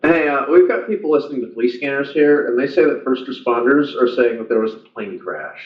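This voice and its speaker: A hurried radio newsroom staffer played by a man speaks very quickly. He is a little away from the mic, with noticeable echo. It sounds like a radio drama. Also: mid-range voice, animated, close to an everyday voice. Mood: urgent. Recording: echoey, distant, slightly dull radio studio.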